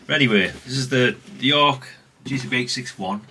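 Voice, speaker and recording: A man's voice: medium pitch, a little fast, pushed and bright, slight background noise. A middle-aged man from chats unscripted as a hobbyist vlogger, rambling and conversational with repeated catchphrases. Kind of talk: unscripted casual chat, showing an item.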